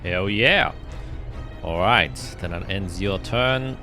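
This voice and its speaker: A man's voice: deep voice, slow. A man speaking casually and colloquially as the game master of a tabletop roleplaying game, narrating the action. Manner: colloquial, swearing. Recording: music playing behind the voice. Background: game background music.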